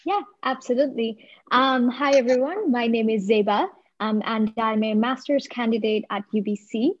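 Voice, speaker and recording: A woman's voice: a little high, normal speed, highs cut off. A woman in her twenties from Bangladesh, introducing herself on a video call with many hesitant "um"s. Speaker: nonprofit founder.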